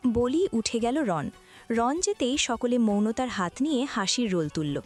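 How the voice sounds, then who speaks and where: A woman's voice: a little high, lively intonation, unhurried, darkish tone. A woman reading scripted story narration as the narrator. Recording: music behind the voice.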